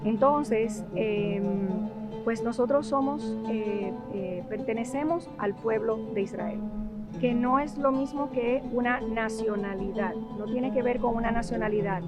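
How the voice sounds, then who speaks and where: A Dominican woman with a Dominican Spanish accent, answering in a documentary interview. She speaks thoughtfully and often pauses on filler sounds while she searches for words. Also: a little high. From Dominican Republic. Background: background music.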